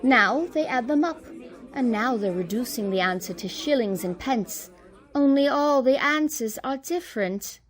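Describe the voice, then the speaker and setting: A woman's voice: on the high side, expressive, a little slow. A woman giving scripted narration in a radio play, telling a story.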